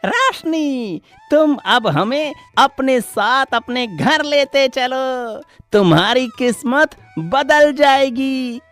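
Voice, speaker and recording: A woman voicing a wise, mysterious talking crow in an animated story, calm and grave, with solemn drawn-out delivery. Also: sits high, exaggerated voice. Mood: reassuring, solemn. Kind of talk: animated children's story dialogue. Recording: music behind the voice.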